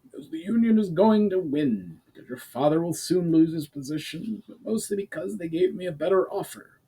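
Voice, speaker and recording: A man's voice: high-pitched, animated, fairly quick, gravelly, dark tone, slightly rough, recorded from a distance, voice pushed up, heavily acted, rough recording. A man voicing a treacherous male spy in a storybook reading, scornful, indignant and sneering.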